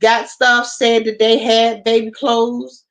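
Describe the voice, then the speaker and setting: A woman guest on a talk show, speaking casually and haltingly with many pauses and ums. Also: a little high, flat delivery, a bit bright. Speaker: guest, ministry founder.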